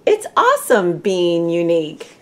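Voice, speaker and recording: Casting: middle-aged woman, American Midwestern accent, storybook reader with unhurried pace and animated intonation. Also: lowish voice. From Michigan, United States. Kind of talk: children's read-aloud storytelling. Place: small room at home, indoors.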